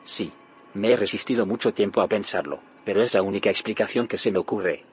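An actor playing a grave, secretive scientist in an audio drama, solemn and explanatory. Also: male voice, lowish voice, brisk, a little hiss or hum, natural voice. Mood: grave, convinced. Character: grave, secretive male scientist haunted by failed timelines. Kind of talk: audio fiction drama acting.

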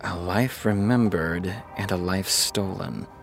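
A man's voice: low-pitched, slow, creaky, faint music behind, exaggerated voice. A man acting in a fiction podcast as an exasperated, sarcastic TV creator who reads fan fiction aloud. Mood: reading aloud. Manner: sardonic, muttering asides between read passages.

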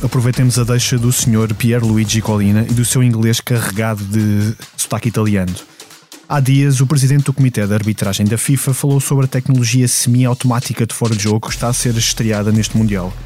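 A man's voice: medium pitch, mellow. A man with a European Portuguese accent hosting a sports podcast in a conversational presenting style. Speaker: podcast host.

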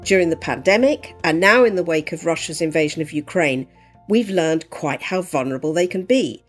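A woman hosting an interview podcast, her voice sitting low for a woman. She introduces her guest and asks questions in a measured, articulate manner. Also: animated, soft background music. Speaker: podcast co-host.

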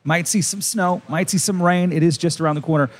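Fast-talking upbeat radio host. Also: male voice, fairly high pitch, steady tone. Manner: upbeat, enthusiastic. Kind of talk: on-location radio interview. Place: outdoors by a resort pool.

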